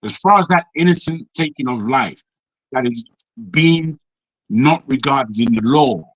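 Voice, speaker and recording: Measured man calming a heated panel. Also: medium pitch, no echo. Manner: measured, trying to calm the room. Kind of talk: heated debate. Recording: dry, dull lo-fi call audio.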